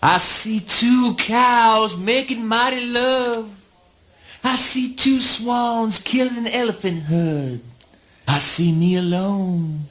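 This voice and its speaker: A woman's voice: a little high, steady tone, slow. A woman playing an eccentric, grandiose visionary, declaiming dramatically in a spoken word play. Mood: grandiose, entranced.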